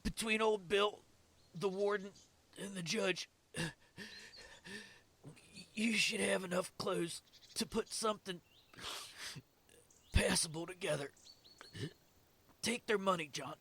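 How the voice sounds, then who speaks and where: A man playing a dying young prison guard in an audio drama, selfless and broken, halting with a weak, strained rasp. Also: high voice, sharp tone, a little hiss or hum, full character voice. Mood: weak, resigned. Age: young adult. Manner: halting, coughing, labored short phrases.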